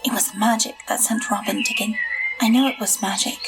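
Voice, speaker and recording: An earnest young girl, played by a woman, tells her story in a fairly high voice with music behind. She is expressive and full of wonder, in audio drama style. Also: brisk, natural voice. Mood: wondering storytelling. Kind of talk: audio drama narration and dialogue.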